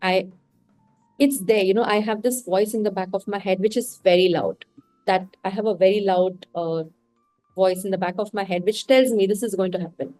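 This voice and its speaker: A woman's voice: mid-range voice, steady tone, moderate pace, dark tone, no echo, soft background music, low-quality recording. A woman from India with an Indian English accent, a podcast guest speaking candidly and reflectively. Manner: reflective and candid, talks through her thoughts. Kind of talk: casual interview conversation.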